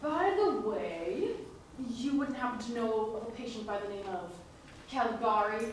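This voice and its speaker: A woman playing a bold, quick-witted schemer in a stage musical, with a brassy, playful, theatrical delivery. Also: on the high side, animated, natural voice. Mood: wry. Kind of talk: live stage musical acting. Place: staged reading before a live audience. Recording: echoey hall, distant mic, clear noise.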